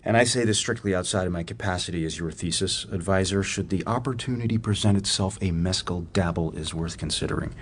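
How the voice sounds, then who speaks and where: An actor playing an eccentric, sardonic psychology professor in a radio play, self-assured and exacting, with a wry, precise way of talking. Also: male voice, low voice, quick pace, few pauses, slight background noise, close to an everyday voice. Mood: dry, teasing. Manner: wry, pedantic, precise wording. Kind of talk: radio theatre dialogue.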